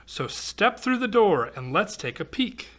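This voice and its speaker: A man's voice: high voice, sing-song, a little slow, fairly bright tone. A man reading a rhyming picture book aloud to children, expressively, with exclamations and chatty asides. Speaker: volunteer storybook reader for children.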